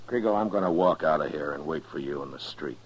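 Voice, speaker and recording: A man's voice: a bass voice, fast. An actor playing a stern, steely frontier lawman in a 1950s radio western, speaking in a terse, commanding way. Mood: cold menace. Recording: dull, noisy 1950s radio recording.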